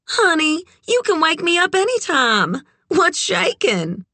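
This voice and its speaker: A woman's voice: sits high, very animated, a little slow, sharp tone, dry recording, pitched above the performer's own voice, exaggerated voice. A woman voicing a brassy, worldly, amused Texan woman in an audiobook, sugary and frank, full of teasing endearments. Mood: extra sweet. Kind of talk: audiobook character dialogue.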